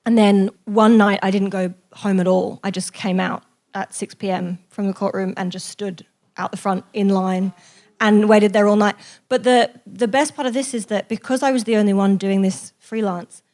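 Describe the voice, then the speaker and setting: Woman, mid-range voice, chatty guest, casual animated storytelling. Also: mid-range voice. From raised in Australia. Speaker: guest journalist and author. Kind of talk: casual spoken anecdote to an audience.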